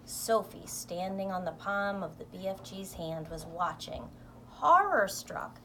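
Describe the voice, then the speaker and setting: A woman's voice: mid-range voice, expressive, measured pace, fairly bright tone, slight background noise. A woman reading a children's book aloud, now and then stumbling and restarting over words. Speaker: volunteer story reader.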